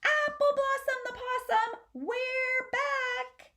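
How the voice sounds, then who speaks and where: A woman's voice: extremely high pitch, steady tone, takes it slowly, bright, forceful tone, voice pushed up, heavily acted. A woman voicing a theatrical young male possum, anxious and hopeful, in a storybook. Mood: commanding, calling out. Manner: dramatic, stagey.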